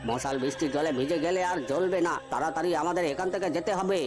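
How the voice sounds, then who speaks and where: A gruff old fisherman from a cartoon ghost tale, acted by a man, with a fairly high voice over prominent music. He bosses and scolds his younger companions like a village storyteller who believes every superstition. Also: fast talker, natural voice. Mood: threatening, commanding. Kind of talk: Bengali animated ghost story voice acting.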